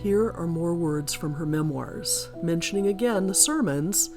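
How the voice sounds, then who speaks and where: A woman presenting a scripted narration in a measured, explanatory manner. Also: lowish voice. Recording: guitar music behind the voice. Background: plucked guitar music.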